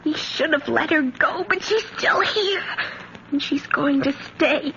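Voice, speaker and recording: A very high, slow-spoken little girl from a vintage radio play. She is plaintive and intense, a clingy daughter with a hateful streak, heard through a scratchy, narrow old recording. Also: pushed and bright, exaggerated voice. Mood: bitter, hateful. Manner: childlike, plaintive, dramatic. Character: clingy, brooding young daughter, secretly hateful of stepmother. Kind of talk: 1950s radio drama acting.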